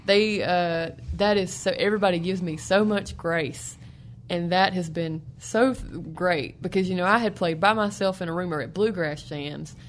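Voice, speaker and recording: A young woman from North Carolina with a low voice, chatting casually as a guest musician. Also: normal speed, a little hiss or hum. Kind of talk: casual interview chat.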